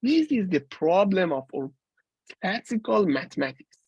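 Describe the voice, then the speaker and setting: A man's voice: high voice, very animated, darkish tone, husky, no room sound, muffled recording. A man in his forties giving a university guest lecture, explaining ideas step by step with frequent restarts and fillers. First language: Persian. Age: 40s. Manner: halting, with many restarts and fillers.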